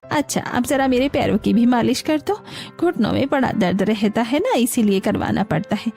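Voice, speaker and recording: A bossy old auntie played by a woman narrator from a cartoon family story. Her voice is high and soft-edged, and she scolds and complains with an entitled air, over light music. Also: animated, moderate pace, gravelly. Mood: demanding, complaining. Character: demanding elderly female houseguest, scolding and entitled.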